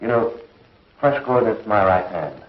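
A man's voice: on the low side, expressive, moderate pace, close to an everyday voice. A middle-aged man acting a stern commanding officer in a 1950s TV science fiction serial. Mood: grave. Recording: echoey, muffled old film soundtrack.

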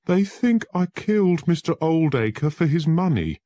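A man's voice: deep voice, very animated, takes it slowly, darkish tone, dry recording, full character voice. A man voicing a frightened young male lawyer who pleads with desperate urgency. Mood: panicked.

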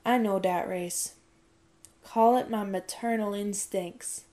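A woman voicing a caring, spirited teenage girl in an audiobook story, expressive and earnest. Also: mid-range voice, takes it slowly, natural voice. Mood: gentle worry. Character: caring, spirited teenage girl among newsboys, worried and sisterly.

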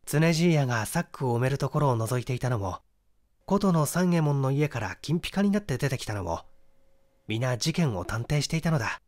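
Man reading as confident young amateur detective, lively pitch. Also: dry recording, clean studio sound, close to an everyday voice.

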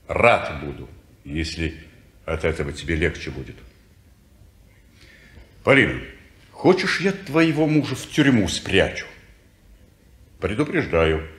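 A man's voice: low-pitched, echoey room. An actor playing a dry, sardonic, cynical man in a 1950s radio play. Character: cynical detached man, former lover.

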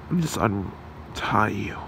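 A man's voice: fairly low pitch, lively intonation, takes it slowly, vocal fry, fairly bright tone, heavily acted. A man voicing a menacing, gloating, possessive supervillain in an amateur ASMR roleplay, making theatrical threats. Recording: noisy room hum.

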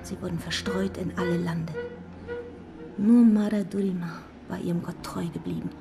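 An actress playing a humble, devout young village girl, earnest and hushed, in a radio drama. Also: lowish voice, steady tone, moderate pace, vocal fry, faint music behind. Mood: reverent.

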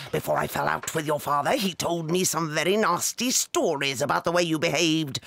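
Fierce British headmistress, heavily gravelly, sharp and forceful, bullying, contemptuous, children's audio drama. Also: female voice, low voice, no room sound, exaggerated voice. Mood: menacing disdain. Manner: imperious, contemptuous, emphatic.

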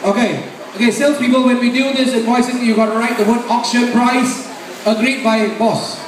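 A middle-aged man with a Malaysian accent doing loud, bantering, repetitive live sales patter as an auctioneer. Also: very high-pitched, steady tone, fairly quick, recorded from a distance. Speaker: sale auctioneer and emcee. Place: large open warehouse with a crowd. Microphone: handheld mic over PA, filmed from the audience. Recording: echoey, noisy PA recorded from the crowd. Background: crowd noise.

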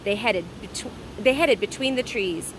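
A woman's voice: mid-range voice, a little slow. A woman reading a children's story aloud expressively, like a teacher, recorded outdoors. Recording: clear outdoor ambient noise.

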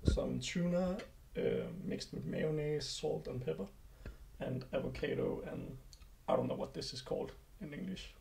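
Young man vlogging, gravelly voice. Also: a little high, normal speed, background noise. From Denmark. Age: young adult. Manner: relaxed, chatty, filler-heavy. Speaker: kayak athlete vlogger. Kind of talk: casual vlog narration. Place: apartment living room indoors, then car and outdoors. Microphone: handheld camera at arm's length.

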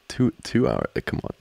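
A man playing an amorous, giggly student boyfriend in an intimate ASMR roleplay, talking haltingly and teasingly close to the mic. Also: no echo, close to an everyday voice. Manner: halting, soft-spoken, chuckling, teasing. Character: amorous, giggly young male student boyfriend trying to study. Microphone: close microphone, dry.

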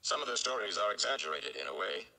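A man's voice: fairly low pitch, very animated, fast, gravelly, pushed and bright, full character voice, low-quality recording. A man voicing a cagey old gleeman in a storybook, reluctant and cautioning. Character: cagey old male gleeman, warning a boy.

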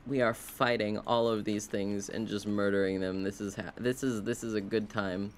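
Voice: deep voice, steady tone, moderate pace, a little hiss or hum. A video game streamer giving casual live commentary, rambling and wryly talking to themself and the game. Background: video game sounds.

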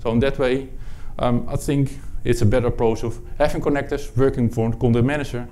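A man from the Netherlands with a Dutch accent, presenting a technical product talk at a conference, halting, with many fillers and restarts. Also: mid-range voice, fairly even intonation, mellow. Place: conference room with audience.

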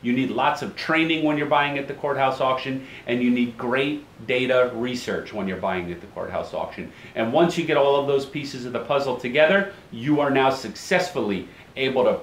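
Man in his forties, office host explaining to camera, mid-range voice, roomy echo, faint hum. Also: mid-range voice. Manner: brisk, plain-spoken, repeats key phrases. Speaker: title company owner, show host. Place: office at a desk.

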